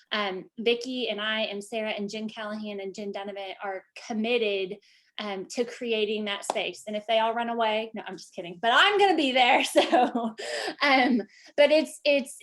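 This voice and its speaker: Woman running a team zoom, kinda high voice, lots of um and you know. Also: fairly bright tone. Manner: rambling, many fillers. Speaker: team leader. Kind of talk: casual talk on a team video call.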